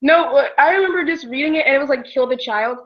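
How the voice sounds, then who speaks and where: A woman's voice: high-pitched, fast talker, muffled recording. A young woman co-hosting a casual book chat, telling her reactions informally as little quotes. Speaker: book discussion co-host.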